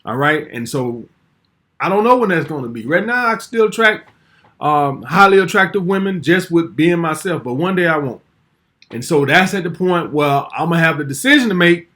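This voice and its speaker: A man's voice: on the high side, animated, normal speed, sharp tone. A man in his forties hosting a casual commentary vlog, talking bluntly and brashly and swearing freely. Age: 40s.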